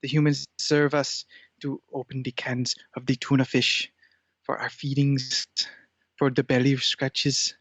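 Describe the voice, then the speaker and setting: Guy doing a bossy scheming podcast cat, deadpan, barely moves his pitch, slightly dull audio. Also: a little slow, no echo. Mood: imperious, demanding. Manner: demanding, haughty, menacing deadpan. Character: imperious scheming male cat, rival of humans.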